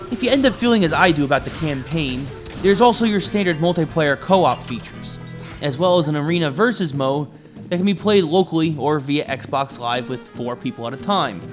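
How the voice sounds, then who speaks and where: A man narrates a scripted video game review in an emphatic presenter's delivery. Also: sits high. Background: background music.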